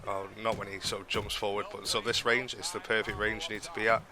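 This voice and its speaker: Low, flat-voiced stuffy man. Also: fast talker, crowd noise. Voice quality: nasal. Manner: rambling, many ums and restarts. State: stuffy nose from a cold. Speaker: gaming commentator. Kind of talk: casual gameplay commentary.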